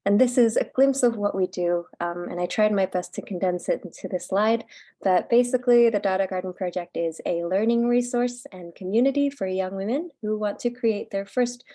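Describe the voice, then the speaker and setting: A woman's voice: mid-range voice, normal speed, warm tone, no room sound, clean studio sound. A woman from Indonesia introducing her project on a video call in a casual, filler-heavy way, tired but upbeat. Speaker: fellowship presenter.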